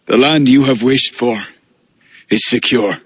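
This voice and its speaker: A man's voice: mid-range voice, measured pace, breathy edge, no echo. An actor playing a proud but humbled king in a video game, earnest and formal in manner. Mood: contrite. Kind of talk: cinematic video game acting. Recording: faint game music behind the voice.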